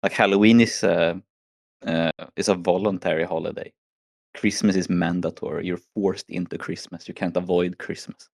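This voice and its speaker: A hoarse man from Sweden chatting casually as a guest and grumbling about the holidays. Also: low-pitched, steady tone, normal speed, darkish tone, no room sound, studio quality. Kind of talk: casual chat.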